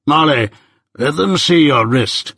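Domineering older gentleman voiced by a male narrator, slow, emphatic, commanding, slightly husky, for a classic storybook. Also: mid-range voice, animated, no echo, studio quality, heavily acted. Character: domineering, deliberate older male lawyer and guardian. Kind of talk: audiobook character voice.

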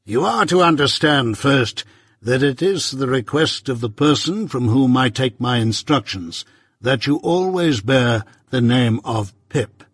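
Guy doing a stern bossy lawyer, slow measured words, a bit husky, higher than his usual, dead dry sound. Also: lively intonation, no room sound. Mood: commanding. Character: stern, imperious middle-aged male London lawyer.

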